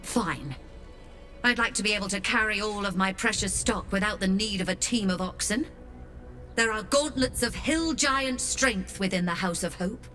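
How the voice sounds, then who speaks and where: A woman voicing a shrewd, imperious merchant of the Hells in a video game, with a measured, theatrical, haughty manner. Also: mid-range voice, bright, forceful tone, soft background music, lightly acted. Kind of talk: video game character acting.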